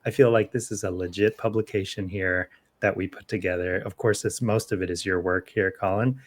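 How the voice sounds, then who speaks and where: A man's voice: low voice, fairly even intonation, brisk. A man co-hosting a local news podcast in casual chat, with a playful, digressive way of talking.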